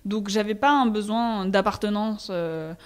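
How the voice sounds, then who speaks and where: A young woman with a French accent speaks as a guest in a casual interview. Her voice sits at a middle pitch. She is candid and conversational, often pausing to find the right word. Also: clear, resonant tone. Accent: French from France. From France. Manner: hesitant, searching for words, candid.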